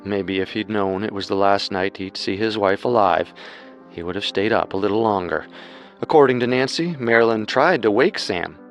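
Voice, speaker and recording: A man's voice: on the low side, moderate pace. A man co-hosting a scripted true-crime show as narrator, with music underneath. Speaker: co-host and narrator.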